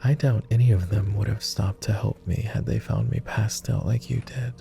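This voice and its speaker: A man's voice: fairly low pitch, fairly even intonation, moderate pace, creaky, dark tone, soft background music. A man voicing a devoted, world-weary immortal vampire companion in intimate roleplay ASMR, speaking tenderly and earnestly in formal phrasing. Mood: wistful, earnest. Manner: tender, earnest, hesitant, somewhat formal speech.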